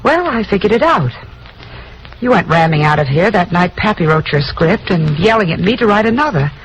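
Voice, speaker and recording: A woman's voice: lowish voice, big pitch swings, moderate pace, poor audio. An actress playing a sassy, easily offended female secretary in a mid-century radio drama. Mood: indignant. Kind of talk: 1940s-50s radio drama comic acting. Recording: old radio recording, faint noise.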